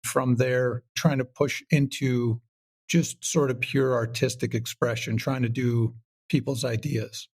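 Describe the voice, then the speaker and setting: A man's voice: medium pitch, flat delivery, measured pace, a little mellow, husky. A man chatting casually as an interview guest, talkative, rambling and self-deprecating. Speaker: podcast guest, writer and audio director.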